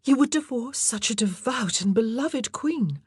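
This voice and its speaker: A narrator voicing a timid, shocked young gentlewoman in a breathy, whispery voice. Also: mid-range voice, expressive, measured pace, no room sound, studio quality, voice pushed up.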